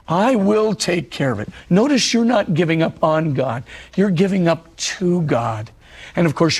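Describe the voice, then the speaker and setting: A middle-aged priest speaks with a husky, hoarse voice that is fraying at the edges. His gravelly, breathy delivery sits slightly high, and he tells his story earnestly, driving points home with repetition. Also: darkish tone. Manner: earnest storytelling preacher, repeats phrases for emphasis. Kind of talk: televised religious talk.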